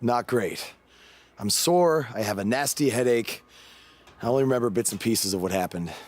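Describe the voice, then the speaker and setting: A man's voice: mid-range voice, lively intonation, moderate pace, close to an everyday voice. A man playing a determined, wry, battered but defiant pro wrestler in a video game cutscene, with some noise behind him. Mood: sore, weary.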